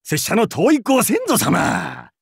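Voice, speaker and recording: A middle-aged, nerdy pirate from an anime game. He is goofy and slightly creepy, talking in theatrical slang. His raspy voice leaps extremely in pitch, in a dry recording. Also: sing-song, slow, no room sound, heavily acted. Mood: mock dramatic. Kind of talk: anime game character lines.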